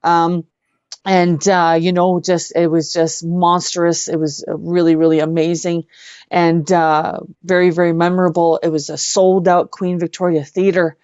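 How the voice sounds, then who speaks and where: Woman, lowish voice, effusive interview guest telling stories casually, slightly dull audio. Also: little pitch movement, a little slow, darkish tone, smooth, no echo. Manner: effusive, repeats words for emphasis. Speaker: interview guest, singer-songwriter and actress.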